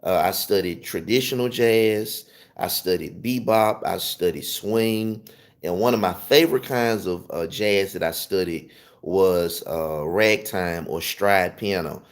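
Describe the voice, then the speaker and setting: Low-pitched Southern man teaching. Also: unhurried. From Memphis, Tennessee, southern US. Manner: emphatic, repeats and rephrases points for learners, checks in with "okay?". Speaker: music teacher. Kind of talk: informal instructional talk to viewers.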